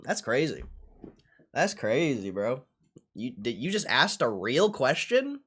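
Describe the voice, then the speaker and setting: Young male streamer, unhurried delivery with big pitch swings, casual and slangy, repeats himself, swears freely. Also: sing-song, a bit bright, breathy edge. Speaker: livestreamer. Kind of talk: casual livestream chat, reacting to what is on screen.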